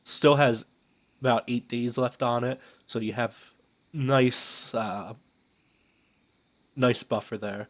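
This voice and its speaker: A man's voice: mid-range voice, fairly even intonation, slow pace, long pauses. A young man with a stuffed-up, nasal voice from a cold, casually commenting on a game while thinking aloud with many fillers. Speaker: let's play host.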